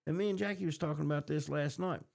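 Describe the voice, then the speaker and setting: Gravelly American man chatting casually. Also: a little high, fast talker, a little mellow. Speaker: podcast host. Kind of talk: casual TV reaction chat.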